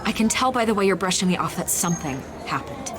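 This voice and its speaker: A woman's voice: fairly low pitch, animated, fast talker, natural voice. A woman voicing a probing newspaper reporter in a video game, insistent and coaxing. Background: music.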